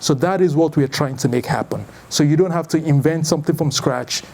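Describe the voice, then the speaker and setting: A man in his thirties with a Nigerian accent, giving an informal, conversational talk as a founder introducing an event, in a loose, halting way. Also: on the high side, a little mellow. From Lagos, Nigeria. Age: 30s. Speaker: startup founder and event host.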